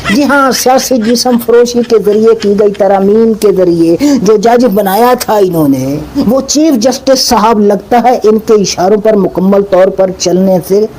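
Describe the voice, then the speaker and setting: A man's voice: high-pitched, unhurried, hardly any pauses, mellow, a little hiss or hum, poor audio. Fiery mocking male political commentator. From Pakistan. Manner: fiery, mocking, rhetorical repeated questions. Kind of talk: satirical political monologue.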